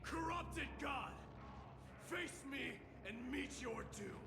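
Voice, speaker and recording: A proud warrior prince from a video game, declaims his challenges grandly and slowly. His pitch swings widely, and loud music plays behind the echoing voice. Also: lively intonation, creaky, heavily acted. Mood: furious defiance. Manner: grand, declamatory, battle-ready. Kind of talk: dramatic video game voice acting.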